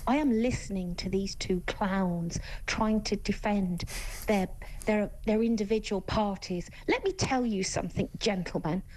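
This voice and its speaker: A woman phoning in to a talk radio show, shouting angrily and indignantly at two guests. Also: mid-range voice, unhurried, poor audio. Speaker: caller. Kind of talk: angry phone-in rant.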